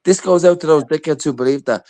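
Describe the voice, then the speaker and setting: A man's voice: on the high side, fast talker, darkish tone, no room sound. A man chatting casually on a livestream, blunt and sweary in the way he talks.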